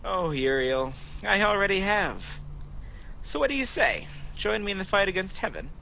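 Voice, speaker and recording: A man's voice: high voice, sing-song, moderate pace, bright, forceful tone, full character voice. A man playing a sly, mocking, boastful fallen angel in a radio play, sardonic and theatrical, on a noisy home recording. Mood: smug, persuasive. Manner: smooth-talking, sardonic, theatrical.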